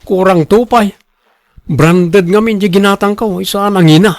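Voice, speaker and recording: Show-off ilocano husband in a radio drama, defensive, kinda high voice, dry close sound. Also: male voice, a little slow, no room sound. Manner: showy, bragging. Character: boastful, defensive husband and co-worker obsessed with costly branded clothes.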